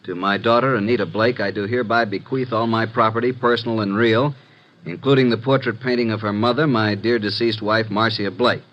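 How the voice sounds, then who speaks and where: An actor playing a breezy, wisecracking young assistant to a detective in a 1950s radio drama. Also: male voice, on the low side, steady tone, brisk, lo-fi sound, natural voice.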